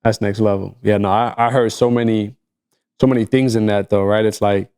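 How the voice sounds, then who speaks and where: A man's voice: lowish voice, steady tone, brisk, darkish tone, clear, resonant tone. A man from Egypt whose first language is Arabic, co-hosting a podcast in a reflective casual chat and repeating points for emphasis. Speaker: podcast co-host.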